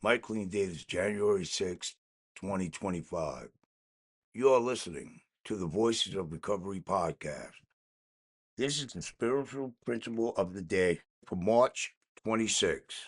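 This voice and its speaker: Guy with a lowish voice reading a daily reflection for a podcast, measured n a bit halting. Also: lively intonation. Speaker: podcast reader. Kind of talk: reading a daily recovery meditation aloud.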